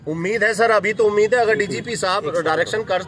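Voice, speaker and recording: High-voiced earnest lawyer outdoors. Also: male voice, fairly quick, a bit bright, faint music behind. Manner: earnest, heavy with legal terms. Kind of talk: press interview.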